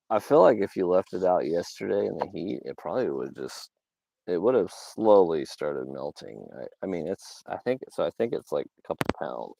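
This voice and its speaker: A man's voice: low-pitched, creaky, dark tone, slightly rough, no room sound, poor audio. A young man from Georgia in the US South, casually rambling on a livestream with lots of fillers and little laughs. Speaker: livestream host. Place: outdoors.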